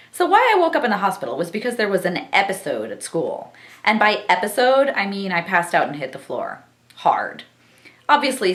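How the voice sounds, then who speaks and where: Animated, bright-voiced woman book vlogger in a roomy space. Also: mid-range voice. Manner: exuberant, theatrical delivery. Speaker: book-review vlogger and host. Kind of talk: vlog book review with passages read aloud.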